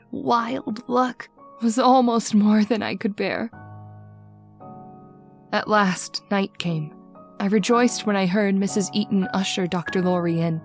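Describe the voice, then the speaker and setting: An actress playing a devoted young married daughter in an old-time radio horror drama, with an earnest, melodramatic delivery. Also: female voice, mid-range voice, gravelly.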